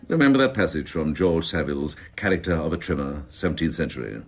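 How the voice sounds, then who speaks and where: Casting: ruthless senior policeman for radio drama, pompous and sardonic, extremely low husky voice. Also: animated, fast, full character voice. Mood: lofty scorn. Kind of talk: radio crime drama acting.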